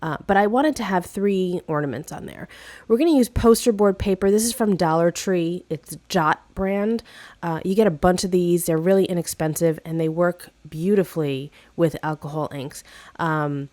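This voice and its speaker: A woman with a lowish voice walks through a craft project in a casual, chatty way, full of little fillers and restarts. She sounds slightly hoarse from a cold. The recording is dry and close, with no room sound. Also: normal speed, darkish tone, no room sound. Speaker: craft video host. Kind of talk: casual craft tutorial narration.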